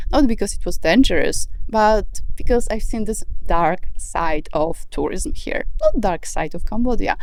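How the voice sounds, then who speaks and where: A woman's voice: a little high, darkish tone, a little hiss or hum, rough recording. A woman vlogger talking frankly to camera, earnest with small laughs and filler words. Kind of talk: casual to-camera talk. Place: outdoors on a walk in the later part.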